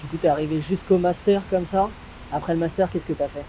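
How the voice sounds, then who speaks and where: Male interviewer, high pitch, conversational questions, soft tone, faint outdoor noise. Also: steady tone, a little fast, low-quality recording.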